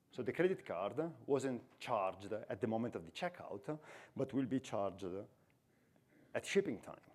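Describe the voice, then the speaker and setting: A man's voice: mid-range voice, darkish tone. Italian accent, man in his forties, conference speaker, chatty, self-deprecating humor, headset mic. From Italy. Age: 40s. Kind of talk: conference talk. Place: conference stage in a hall.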